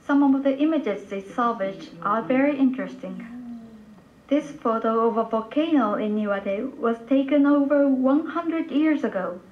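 A woman's voice: high-pitched, moderate pace. A woman narrating a scripted news report, replayed over speakers in a room. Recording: muffled, noisy playback in a room.

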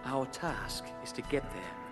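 A man's voice: mid-range voice, expressive, natural voice. Man acting as composed young noble lord, music behind. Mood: calm, commanding. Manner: formal, measured, authoritative. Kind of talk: video game cutscene acting.

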